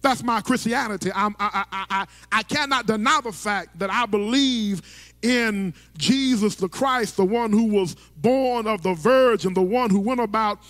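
A man's voice: high voice, moderate pace, fairly bright tone. A middle-aged male pastor preaching from a church stage into a handheld mic, with rhythmic sing-song phrasing and stammering restarts. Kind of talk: sermon. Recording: long church hall reverb.

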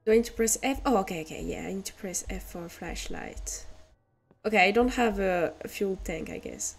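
A woman's voice: mid-range voice, sing-song, moderate pace, vocal fry. A woman with a French accent, a streamer hesitantly thinking aloud while playing a game. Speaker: VTuber streamer. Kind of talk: casual game commentary.

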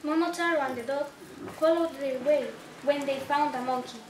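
A woman's voice: sits high, normal speed. A young woman reading a story aloud as narrator of a puppet show. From Spain. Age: young adult. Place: small indoor room at a day care centre. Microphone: distant camera microphone. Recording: roomy, distant camera mic, clear noise.

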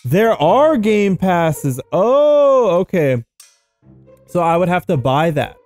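A man's voice: on the high side, animated, takes it slowly, a little mellow, no echo. A young man hosting a gaming video with casual, rambling commentary, thinking aloud in slangy language. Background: background music.

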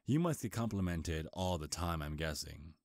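A man playing a charming, sly male vampire in an intimate ASMR roleplay, soft-spoken, flirtatious and hesitant, with deliberate delivery. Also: low voice, animated, no room sound, clean studio sound. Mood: smooth, flirtatious. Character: charming, sly male vampire, centuries old, courting prey. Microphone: close, dry microphone.

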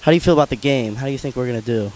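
Fast-talking teen boy interviewer. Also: mid-range voice, darkish tone, slight background noise, poor audio. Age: teens. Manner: fast, loose and joking. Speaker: student interviewer. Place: school gym indoors. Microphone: handheld mic.

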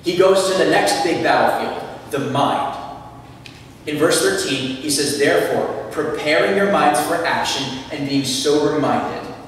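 A man's voice: a little high, unhurried, far from the mic, slight background noise. Young man preaching in an echoey church. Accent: American. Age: young adult. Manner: earnest, animated, gestures broadly while preaching. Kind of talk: sermon. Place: church sanctuary. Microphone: lectern mic, camera at back of room.

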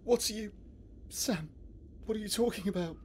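A man voicing a panicky young man trapped underground in a horror game, halting, stammering and pleading. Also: high voice, darkish tone, a little hiss or hum, lightly acted. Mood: distressed.

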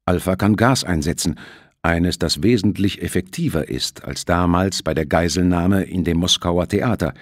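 A man's voice: low voice, normal speed, no echo, clean studio sound. A man voicing an urgent, businesslike fifty-year-old Russian intelligence officer in an audiobook.

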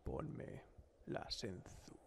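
A man playing a menacing, creepily controlling martial-arts hero husband in a cartoon parody, speaking slowly and lapsing into breathy whispers. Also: a little hiss or hum. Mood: whispered menace. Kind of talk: cartoon parody fandub acting.

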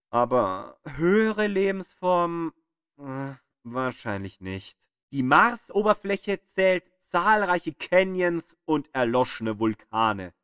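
Unhurried, higher-voiced male narrator. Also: a bit bright, no room sound, studio quality. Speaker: narrator of an astronomy audio guide. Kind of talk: scripted educational narration.

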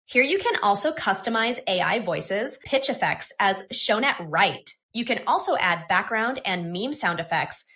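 Female tutorial presenter, scripted narration, instructional, lively intonation, medium pitch. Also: moderate pace, few pauses. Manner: instructional, step by step.

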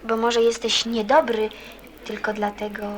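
Earnest high-voiced teenage girl, acted by a woman. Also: flat delivery, measured pace, slight background noise, lightly acted. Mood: concerned, probing. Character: earnest, caring teenage girl, gently probing. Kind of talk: radio play acting. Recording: busy chatter of people behind. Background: crowd chatter, as in a café.

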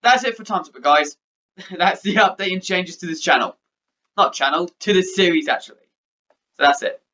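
A young man with a high voice chats to his webcam from his bedroom. He rambles, restarts and stutters now and then. His tone is bright and forceful. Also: moderate pace. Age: young adult. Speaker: YouTuber. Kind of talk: casual vlog update. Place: bedroom with posters, indoors.

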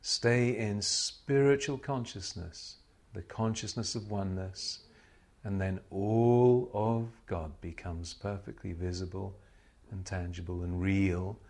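A man leading a guided spiritual meditation as a teacher, speaking solemnly in short, measured phrases with pauses. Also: deep voice, fairly even intonation, a little mellow.

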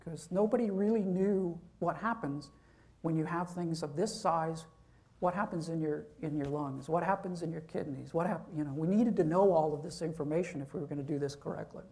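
A man's voice: fairly high pitch, brisk, darkish tone. A man, a professor, giving an informal university lecture, talking conversationally and checking in with "okay?".

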